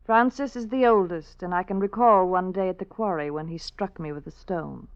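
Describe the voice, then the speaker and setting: An actress playing an aggrieved, brooding wife and disinherited daughter in a vintage radio drama, with theatrical archaic phrasing. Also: female voice, lowish voice, moderate pace, close to an everyday voice. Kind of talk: 1950s radio drama acting. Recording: dull old radio recording.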